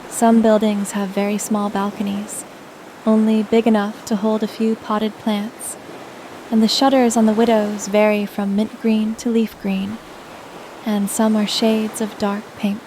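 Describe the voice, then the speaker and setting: A woman narrating a scripted guided sleep meditation in a soothing, gentle manner. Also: mid-range voice, little pitch movement, unhurried, slight background noise, poor audio.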